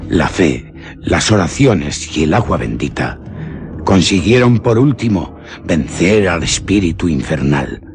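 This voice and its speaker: A grave radio-theatre narrator with a low, creaky voice. He tells a dark legend in a solemn, deliberate, ominous manner. Music plays clearly beneath him. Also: sing-song, exaggerated voice. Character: grave male storyteller of an old devil legend, solemn and ominous.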